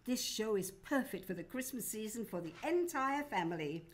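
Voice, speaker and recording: A woman's voice: mid-range voice, expressive, normal speed. A woman announcing and narrating in a theatrical, formal old-time radio show style.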